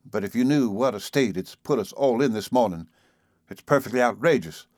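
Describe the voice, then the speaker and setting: A man's voice: mid-range voice, expressive, normal speed, a little mellow, dry recording, studio quality, lightly acted. A man voicing a flustered, severe young female hospital nurse with a reproachful manner in a storybook reading. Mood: contemptuous. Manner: stern, reproachful.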